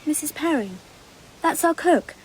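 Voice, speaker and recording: Candid English schoolgirl, twelve, high lilting voice, innocent and matter-of-fact, radio play. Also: expressive, a little slow, a little hiss or hum, low-quality recording. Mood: matter-of-fact, eerie. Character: dreamy, frank twelve-year-old English girl. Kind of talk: broadcast radio drama acting.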